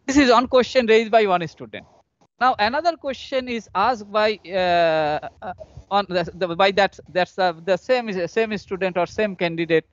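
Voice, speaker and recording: A man with an Indian accent teaching engineering in a live online lecture, explaining step by step in a halting, repetitive way. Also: sits high, dry recording. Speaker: engineering professor. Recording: dull lo-fi online call audio.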